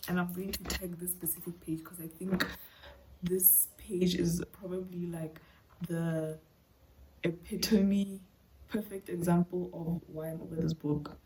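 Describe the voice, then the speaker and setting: Low-voiced, mellow woman, casual book vlogger. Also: slight background noise, low-quality recording. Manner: candid, slangy, conversational. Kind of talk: casual reading vlog chat to camera.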